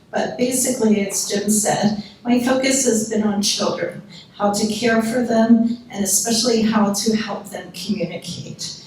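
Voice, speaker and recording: A soft-spoken woman with a Pennsylvania accent, giving an earnest public history lecture into a microphone. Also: fairly high pitch, slight background noise. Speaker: guest lecturer. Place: lecture hall. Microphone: handheld or podium microphone. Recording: echoey hall, picked up from a distance.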